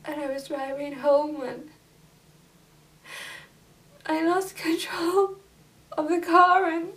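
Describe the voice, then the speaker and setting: A young woman playing a guilt-ridden young woman who confesses in a hushed, halting voice, recorded from a distance. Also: high voice, level tone. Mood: grieving. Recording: distant camera mic.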